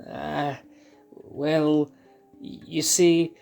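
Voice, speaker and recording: A shy, stammering young lion cub with a high, reedy voice, as a man puts him on. He trips over his words, hesitant and jittery, then turns playful and teasing. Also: level tone, drawn-out words, vocal fry, a little mellow, faint music behind, exaggerated voice. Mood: nervous.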